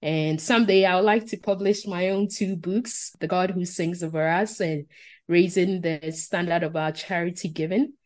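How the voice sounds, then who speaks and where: A woman's voice: on the low side, fairly even intonation, moderate pace, darkish tone. A woman, a Twi speaker, giving long, earnest answers as a podcast guest. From Ghana. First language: Asante Twi. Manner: earnest, detailed listing. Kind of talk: podcast interview.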